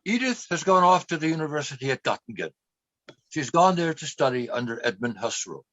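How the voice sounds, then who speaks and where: A man's voice: on the high side, big pitch swings, brisk, fairly bright tone, dry recording, highs cut off. A man acting as the narrator of a radio play, telling a life story in a wry way. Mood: wry storytelling. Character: wry male narrator looking back on a woman's life.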